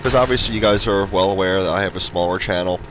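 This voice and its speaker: A young man with a slightly low, congested voice talks casually through a gameplay video, rambling a little with ums and uhs. Gunfire and explosions from the game are loud behind him. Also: fast, noisy background. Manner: chatty, rambling with fillers. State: stuffed up, congested. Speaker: gaming channel host.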